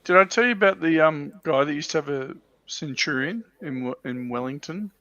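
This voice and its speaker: An Australian man telling stories in casual chat, with a halting delivery that often doubles back on words. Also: a little high, sharp tone, dry recording. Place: livestream video call. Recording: dull, lo-fi voice call audio.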